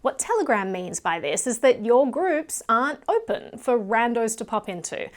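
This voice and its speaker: A woman presenting scripted explanatory narration in an emphatic, critical, clearly structured way. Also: fairly high pitch, very animated, normal speed.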